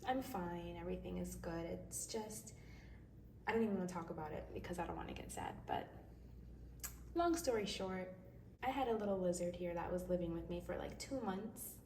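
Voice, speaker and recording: A woman's voice: on the low side, normal speed, creaky, darkish tone. A woman hosting a tarot reading in a casual, chatty, reassuring way, with a slightly stuffy nose from crying. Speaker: tarot reader and host. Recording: distant mic, roomy echo, faint noise.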